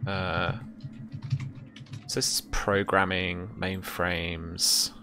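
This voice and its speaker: A young man casually narrating as game master and explaining rules, in a hesitant, halting way, on a video call. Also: deep voice, level tone, over music. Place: indoor room at home, over video call. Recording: faint background noise.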